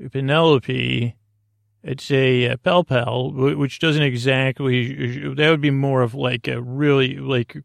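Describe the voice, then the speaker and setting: Creaky-voiced man rambling gently through a monologue. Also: mid-range voice, no room sound, studio quality. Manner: meandering, halting, with stammered false starts and repeated words; whimsical, self-deprecating silliness. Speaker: host of a bedtime story podcast. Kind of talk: rambling sleep-podcast monologue.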